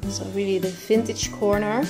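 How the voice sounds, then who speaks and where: A woman's voice: medium pitch, steady tone, moderate pace. A middle-aged woman with a Dutch accent, chatting casually and a little hesitantly to a camera as a vlog host. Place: inside a car, later in a thrift shop. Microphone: camera on dashboard or at arm's length. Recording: loud background music over vlog audio. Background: music.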